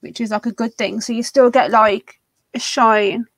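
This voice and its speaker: A woman's voice: a little high, fairly even intonation, normal speed, no echo. Chatty woman with a dry, close sound. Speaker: live stream co-host. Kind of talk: casual chat about makeup.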